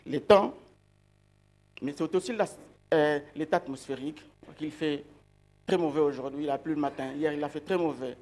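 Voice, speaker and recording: Higher-voiced man preaching reflectively. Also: normal speed, long pauses, darkish tone. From outside Belgium. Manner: reflective, poses rhetorical questions and repeats key words. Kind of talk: sermon.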